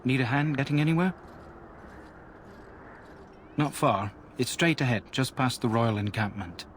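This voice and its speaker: An actor playing a plain-spoken, gossipy soldier in a video game, with a folksy, colloquial way of talking. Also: male voice, medium pitch, expressive, a little slow, lightly acted. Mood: chatty, helpful. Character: plain-spoken, gossipy male camp soldier giving directions. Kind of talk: video game character dialogue. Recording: noisy outdoor camp ambience behind voice.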